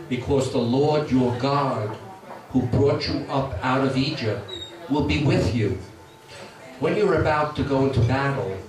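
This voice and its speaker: A man from Brooklyn with a New York accent, giving a plainspoken speech as guest speaker at a meeting and reading scripture aloud. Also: mid-range voice. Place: meeting hall. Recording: echoey hall from far off. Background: audience murmur.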